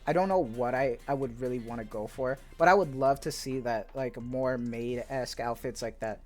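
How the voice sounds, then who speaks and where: A man's voice: mid-range voice, moderate pace, a little mellow. A man giving casual, rambling commentary on viewer suggestions for a video game, upbeat and eager, full of filler words. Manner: laid-back, rambling, peppered with "like" and "dude". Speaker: gaming YouTuber. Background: faint background music.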